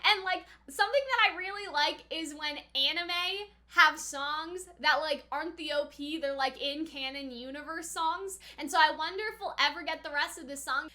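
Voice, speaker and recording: A woman's voice: sits high, bright, forceful tone. A woman hosting an anime reaction video, giving casual commentary in a chatty, informal way as she thinks aloud.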